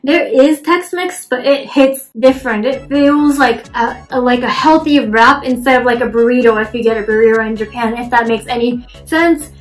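A woman's voice: on the high side, normal speed, hardly any pauses, faint music behind, low-quality recording. A young American woman from Florida chatting to camera in her small bedroom, casual and self-deprecating, with lots of "like" fillers. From Florida, USA. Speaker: vlogger. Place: small bedroom apartment, indoors. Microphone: camera in front at desk distance.

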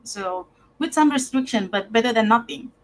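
A woman's voice: on the high side, lively intonation, normal speed. A middle-aged woman hosting an interview on a video call, speaking in a friendly, hesitant way with frequent laughter. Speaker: host. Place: home room.

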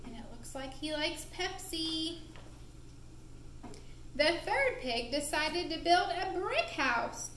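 A woman narrating a puppet show for young children in an expressive, deliberate, playful way, recorded in an indoor room. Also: very high-pitched. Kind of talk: animated storytelling for young children. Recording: roomy echo with faint background noise.

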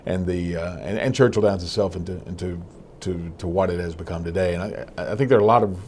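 A middle-aged man answering interview questions in a small TV studio, telling stories quickly with frequent restarts. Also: low voice, steady tone, a little mellow, slight background noise. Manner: quick, storytelling, many restarts. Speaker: racetrack communications executive.

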